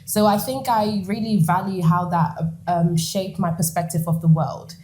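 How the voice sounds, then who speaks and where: Woman, 20s, from the Netherlands, low-pitched, mellow tone, animated, laughing, interview guest. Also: moderate pace. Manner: animated, laughs often, acts out quoted lines. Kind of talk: conversational interview storytelling.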